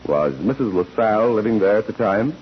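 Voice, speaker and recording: An actor playing a formal, relentless courtroom examiner who questions witnesses deliberately in a 1940s radio drama. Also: male voice, fairly low pitch, animated, natural voice. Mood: businesslike. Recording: muffled, noisy old 1940s radio recording.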